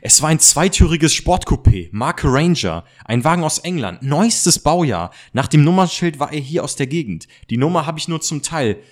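A man's voice: a little high, sing-song, moderate pace, few pauses. A man playing an alert, earnest boy detective sidekick in an amateur German radio play. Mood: matter-of-fact.

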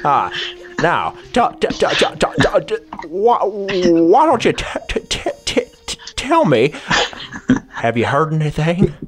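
A man's voice: a little high, wildly swooping pitch, unhurried, soft background music, voice pushed up. A man playing a nervous, stuttering, evasive detective in an improvised radio drama parody. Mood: nervous, stammering. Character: flustered, stammering, shady male private detective.

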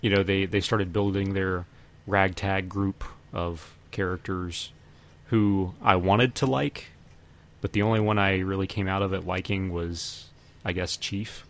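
Low-voiced man hosting a casual film podcast. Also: fairly even intonation, unhurried. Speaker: podcast host.

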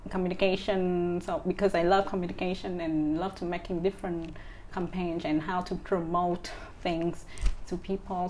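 A woman's voice: fairly low pitch, a little mellow, a little hiss or hum. A woman in her thirties from Vietnam with a Vietnamese accent, speaking as an interview guest with many fillers and restarts. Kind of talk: casual interview.

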